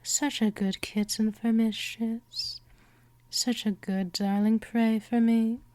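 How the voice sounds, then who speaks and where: A woman voicing a gentle but possessive vampire mistress in a hypnosis ASMR roleplay, coaxing softly and often slipping toward a whisper. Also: on the high side, level tone, no echo. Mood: soothing, lulling. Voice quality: soft, breathy whispering in places. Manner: slow, coaxing, drawn-out delivery.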